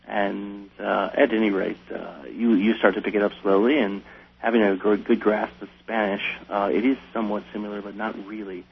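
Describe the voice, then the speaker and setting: An American man tells stories casually as a guest, halting and restarting as he goes. His voice sits fairly low with a gravelly creak. The recording is dull radio audio with a faint hum. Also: flat delivery. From Wisconsin, United States. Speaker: chef, interview guest. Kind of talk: casual interview storytelling.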